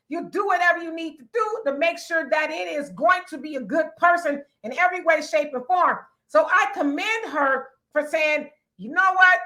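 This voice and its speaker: Blunt, emphatic high-voiced woman. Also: bright, forceful tone. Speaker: podcast host. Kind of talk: casual opinion talk.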